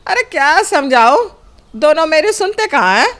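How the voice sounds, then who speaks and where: An aggrieved middle-aged housewife played by a woman from a cartoon family drama. Her voice is high and she speaks in complaints, as a put-upon wife and mother. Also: very animated, normal speed, a bit bright. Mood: bitter complaining.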